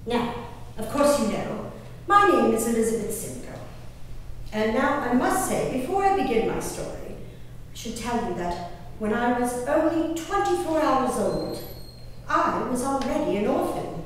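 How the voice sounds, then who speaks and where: A woman playing an excitable, willful young gentlewoman in a dramatic stage monologue, expressive, with emphatic pauses, recorded from far off in an echoey hall. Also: on the high side. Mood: gracious, confiding. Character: Elizabeth Simcoe, excitable, willful young English gentlewoman diarist. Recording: distant, echoey hall recording, faint noise.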